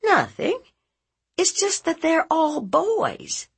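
A woman's voice: very high-pitched, wildly swooping pitch, slow pace, vocal fry, pitched above the performer's own voice, exaggerated voice. A woman voicing a fierce, blunt, protective aunt in a story, scolding in an emphatic, folksy, interrogating way. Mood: exasperated, concerned.

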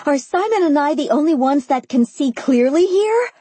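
A woman voicing a drunk, furious, meddling young woman in an audiobook, with loud, indignant, sputtering outbursts. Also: high-pitched, expressive, normal speed, dry recording, voice pushed up. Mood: pushy, heated. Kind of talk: dramatised audiobook dialogue.

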